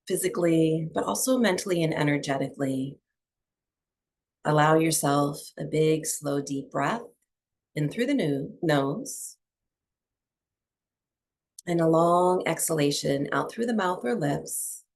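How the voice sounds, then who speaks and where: A woman teaching breathwork and meditation to a small group, speaking softly and soothingly with long pauses between phrases. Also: low voice. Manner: soft, unhurried, soothing delivery with long pauses.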